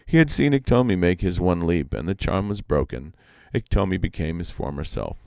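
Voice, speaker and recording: A man reading a story aloud live as an expressive storyteller. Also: sits low, moderate pace, creaky, no room sound, studio quality. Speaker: storyteller, reader.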